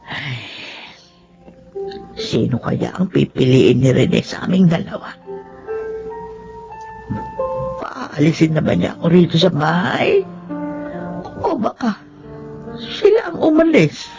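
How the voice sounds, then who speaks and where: A woman's voice: low voice, sing-song, unhurried, vocal fry, long pauses, darkish tone, faint music behind, heavily acted. An actress playing a meek, anxious woman in her seventies in a Filipino audio drama, hesitant and stammering. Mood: worried, musing. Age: 70s. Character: elderly Filipino mother and grandmother, flustered, anxious, meek.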